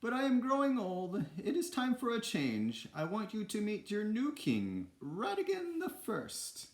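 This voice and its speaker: A man voicing a regal female toy queen, giving a formal, stately speech in falsetto for a storybook. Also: huge pitch swings, unhurried, echoey room, heavily acted.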